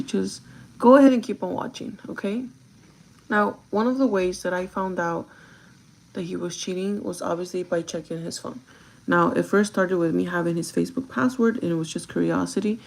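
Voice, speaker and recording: A young woman with a gravelly, groggy voice chats casually and directly to her viewers, giving advice in an informal vlog style. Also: mid-range voice, fairly even intonation, fairly quick, a little mellow, low-quality recording. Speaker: vlogger chatting while doing makeup.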